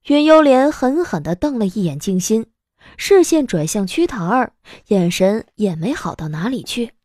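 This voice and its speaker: A woman's voice: a little high, big pitch swings, moderate pace, a little mellow, no echo, studio quality. A woman narrating a scripted audiobook story as the narrator of a drama.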